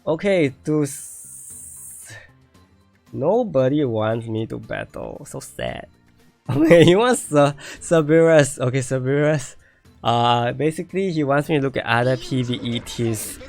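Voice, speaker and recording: A man's voice: mid-range voice, expressive, unhurried, vocal fry, darkish tone, no echo, faint music behind. A man streaming a game and reviewing viewer accounts, thinking aloud and repeating himself as he starts to lose his voice. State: losing his voice. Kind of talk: casual live-stream commentary.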